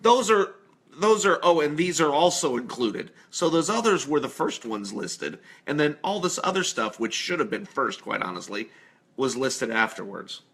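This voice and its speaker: A man's voice: on the high side, lively intonation, normal speed, a bit bright, breathy edge. A man, a musician guest on a radio show, chatting casually and giving his opinions informally.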